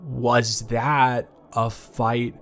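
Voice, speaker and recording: A young man playing a flustered, awkwardly tender ex-boyfriend in an improvised romantic audio roleplay, halting and stammering as he rambles and corrects himself. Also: mid-range voice, level tone, a bit bright. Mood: processing, curious. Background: background music.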